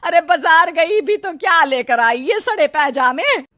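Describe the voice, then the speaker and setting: A woman's voice: very high-pitched, lively intonation, measured pace, pushed and bright, no echo, studio quality, exaggerated voice. A woman voicing a dramatic, fretting, bossy middle-aged mother-in-law in a cartoon story. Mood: lamenting. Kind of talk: cartoon moral-story voice acting.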